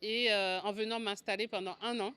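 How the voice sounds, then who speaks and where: Bright-voiced Frenchwoman in her forties. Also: mid-range voice, steady tone, fairly quick, smooth. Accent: French from France. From France. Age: 40s. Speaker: video channel host, agency co-founder. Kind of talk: personal vlog storytelling to camera.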